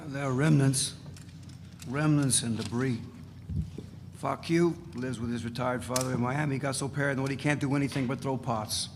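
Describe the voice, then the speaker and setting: A man's voice: medium pitch, fairly even intonation, normal speed, natural voice. A man playing a swaggering, foul-mouthed radical revolutionary, emphatic and sardonic, in a dramatic reading of a novel. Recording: noisy, reverberant hall recording.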